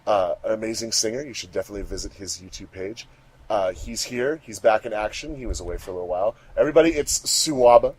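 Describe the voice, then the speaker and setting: A man's voice: lowish voice, darkish tone, poor audio. A man hosting a fan commentary track, introducing things casually in an upbeat, announcer-like way with emphatic stresses. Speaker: host of a fandub commentary track.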